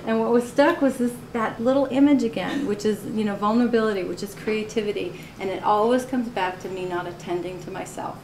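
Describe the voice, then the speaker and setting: A woman's voice: medium pitch, a little fast, few pauses, a little mellow. Woman artist in her 40s chatting about her work, laughs a lot, echoey gallery, faint hiss. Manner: candid, laughs often, many "you know"s. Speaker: artist giving a gallery talk. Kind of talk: casual talk on her own artworks. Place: college art gallery. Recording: roomy live recording with faint noise.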